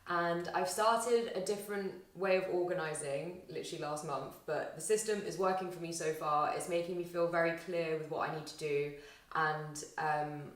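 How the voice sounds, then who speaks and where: A young woman vlogging casually to camera, chatty and rambling, her voice slightly husky and tired after a weekend out. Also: deep voice, fairly even intonation, normal speed, distant mic. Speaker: vlogger and aspiring actor. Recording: roomy echo, faint music.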